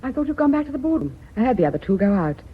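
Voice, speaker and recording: An actress playing a concerned, deferential secretary in British radio drama, polite and apologetic. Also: female voice, fairly high pitch, sing-song, very fast, slightly rough. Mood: anxious, polite. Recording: tinny, band-limited radio drama recording.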